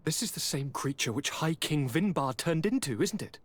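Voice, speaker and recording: A breezy young aristocrat from a video game. The voice is fairly high and swings widely in pitch. He is courtly and affectionate, airily brushing things aside with theatrical polish. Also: lively intonation, normal speed, husky. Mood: warm, curious. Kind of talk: dramatic video game acting.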